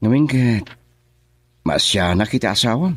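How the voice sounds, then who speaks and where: A man's voice: fairly low pitch, lively intonation, unhurried, a bit bright, no echo. An actor playing an indignant young man confronting his friends, in Ilocano radio drama with a Filipino accent. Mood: indignant, scolding.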